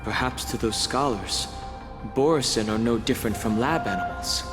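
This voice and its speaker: A man voicing a reflective investigator in a video game, pondering in measured phrases. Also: medium pitch, in a large hall, over music, close to an everyday voice. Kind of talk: scripted video game dialogue.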